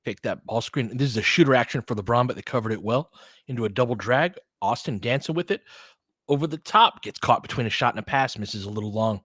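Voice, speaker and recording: A man's voice: mid-range voice, quick pace, a bit bright, husky, no echo. Casting: man with a mid-range voice for casual, partisan basketball play-by-play with chatty analysis, recorded close and dry. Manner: partisan home-team fan, conversational asides. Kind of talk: informal live basketball commentary.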